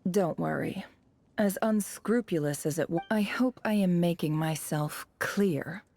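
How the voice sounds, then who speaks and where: An actress playing a cunning young envoy in a video game, polished, composed and secretly scornful, with a faintly condescending manner. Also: female voice, fairly low pitch, unhurried, vocal fry, a little mellow, faint music behind. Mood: smugly commanding. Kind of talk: dramatic video game voice acting.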